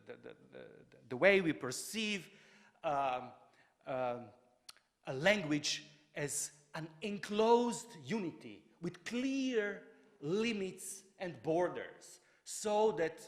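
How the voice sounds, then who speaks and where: A fairly high-voiced man lectures in a lively, rising and falling tone. He stumbles through many fillers and restarts. His voice carries a long reverb from a large lecture hall. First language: Croatian. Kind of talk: public lecture.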